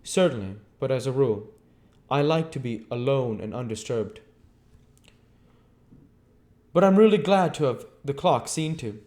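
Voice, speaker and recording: Storybook role: imperious, secretive male investigator played by a man, lifted pitch, formal and emphatic delivery. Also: lively intonation, a little mellow, lightly acted. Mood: curt, formal. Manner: formal, emphatic, deliberate phrasing. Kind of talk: storybook character voice in an audiobook reading.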